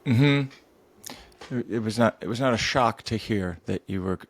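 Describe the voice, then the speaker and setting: A man's voice: on the low side, measured pace. A man interviewing a guest, asking questions hesitantly and rephrasing them with fillers.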